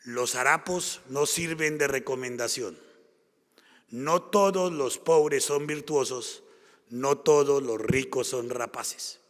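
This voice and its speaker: A man's voice: medium pitch, takes it slowly, a bit bright. A man from Colombia with a Colombian accent, giving a conference talk and driving his points home with repeated phrases. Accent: Colombian Spanish. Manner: emphatic, repetitive rhetorical build-ups. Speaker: conference speaker. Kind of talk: public lecture. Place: conference hall. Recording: long hall reverb.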